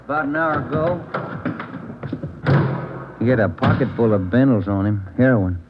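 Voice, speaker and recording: An American man playing a plain-spoken police detective partner in a vintage radio crime drama, brisk and businesslike. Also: medium pitch, lively intonation, natural voice. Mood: brisk, concerned. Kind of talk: 1940s-50s radio police drama dialogue. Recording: tinny, noisy old radio recording.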